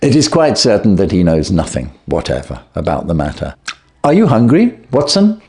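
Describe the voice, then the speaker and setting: A shrewd Victorian detective, played by a male storyteller, brisk and sure of himself. His storybook lines are articulate and decisive, with pitch that moves around a lot. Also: wildly swooping pitch, a little mellow. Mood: brisk, affable. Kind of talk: storybook character voice.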